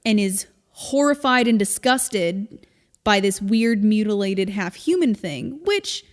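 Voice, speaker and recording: A woman co-hosting a podcast, explaining things in casual, informal chat with an animated storytelling manner. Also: medium pitch, slow pace. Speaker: podcast co-host. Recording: long reverb, hall-like room.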